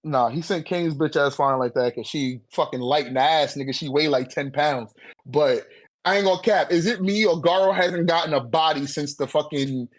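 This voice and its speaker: Man, high-pitched, slangy, profane, casual debating, very dry sound. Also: moderate pace, no echo. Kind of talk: casual debate about manga character rankings.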